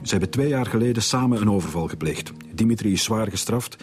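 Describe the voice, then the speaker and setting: A man acting as an officious Flemish police director in a radio serial, low-voiced and fast. He is cautious and formal, with a little music behind. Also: animated, a little mellow, lightly acted. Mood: brisk, official.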